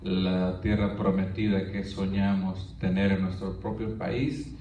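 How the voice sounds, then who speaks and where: Guy giving his testimony at church, kinda flat and low, mic far off in a big echoey room. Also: a little hiss or hum. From Honduras. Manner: earnest, halting, with frequent restarts and fillers. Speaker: guest speaker giving testimony. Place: church hall. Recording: distant, roomy, dull church recording.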